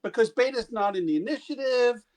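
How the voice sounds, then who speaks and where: High-voiced man, hesitant player. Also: expressive, fairly quick, darkish tone. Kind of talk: casual chat at the game table.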